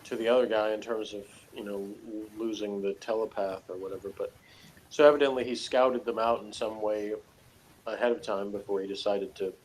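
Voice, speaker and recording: Male co-commentator, fairly low voice, casual and rambling, slight background noise on the recording. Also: rough recording. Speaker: review show co-commentator. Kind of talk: casual TV review discussion.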